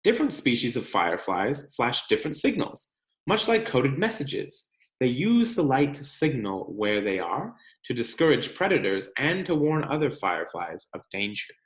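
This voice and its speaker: An American man reads a children's picture book aloud with expressive, deliberate storyteller phrasing and the occasional casual aside. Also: medium pitch. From California, United States. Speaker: read-aloud host, teacher reading to children. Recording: echoey room.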